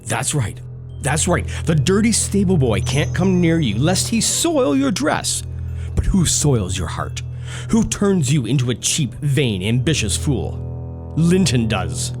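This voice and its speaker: A man's voice: a little high, sing-song, slow pace, over music, exaggerated voice. An actor playing a bitter, proud young stable boy in love, intense and brooding, in a period audio drama. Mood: bitter, accusing.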